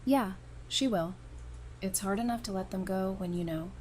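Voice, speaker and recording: A woman's voice: medium pitch, moderate pace, darkish tone, natural voice. A woman plays a seasoned female survivor in an apocalypse audio drama, with a mid-range voice. She talks casually, with dry, affectionate teasing. There is audible ambient noise behind her. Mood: somber. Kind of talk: audio drama acting, naturalistic. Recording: noticeable background noise and ambience.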